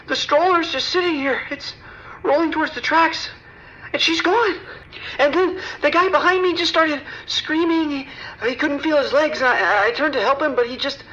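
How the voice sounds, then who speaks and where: A woman playing a terrified subway commuter on an emergency call in an audio drama, halting, stammering and pleading. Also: high voice, a bit bright, dull audio.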